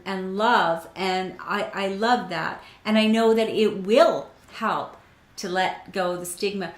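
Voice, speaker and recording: Woman host, casual chat, affirming, restarts often, mid pitch, roomy echo. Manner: warm affirming, many restarts and fillers.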